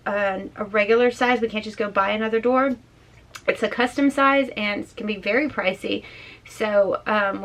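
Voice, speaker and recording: Hoarse, tired woman vlogging softly. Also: on the high side. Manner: rambling, soft, trailing off. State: hoarse from mild laryngitis; tired and worn out. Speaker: home vlogger. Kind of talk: casual chatty vlog. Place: small closed pantry indoors.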